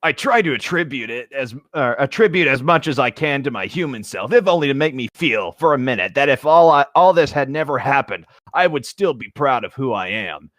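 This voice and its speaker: A man's voice: mid-range voice, lively intonation, brisk, few pauses, fairly bright tone, no echo, studio quality, natural voice. A man voicing a proud, hungry teenage half-shark hero in mock-dramatic story narration. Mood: mock-earnest brooding.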